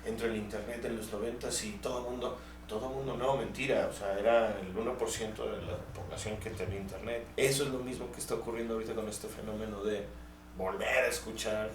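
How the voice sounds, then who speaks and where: Male co-host, lowish voice, casual commentary, rhetorical questions, far from the mic in a noisy room. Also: fairly even intonation, quick pace. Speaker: podcast co-host. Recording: distant mic, echoey room, clear noise.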